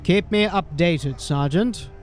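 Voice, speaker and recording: An actor playing a formal, polished watch captain in an audio drama, crisp and measured. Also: male voice, high-pitched, faint music behind. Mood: composed, formal.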